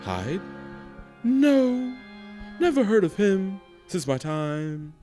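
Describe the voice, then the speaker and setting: Grumpy old doctor in a radio play, over the top, pitch all over, bit of music behind. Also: male voice, very animated, drawn-out words, dark tone, exaggerated voice. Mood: dismissive. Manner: theatrical, blustering, exclamatory. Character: blustery, testy old male doctor, jovial then indignant. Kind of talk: theatrical radio play acting.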